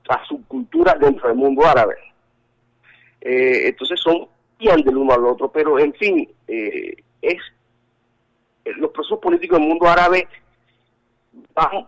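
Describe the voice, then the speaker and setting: A Colombian man speaking as an interview guest over a telephone call, halting and restarting his sentences, with many fillers. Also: on the high side, a bit bright. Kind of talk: phone interview. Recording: telephone line.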